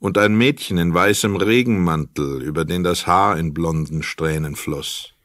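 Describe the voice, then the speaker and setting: Male narrator for crime radio play: low voice, close dry sound, literary storytelling. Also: unhurried, a bit bright, no echo.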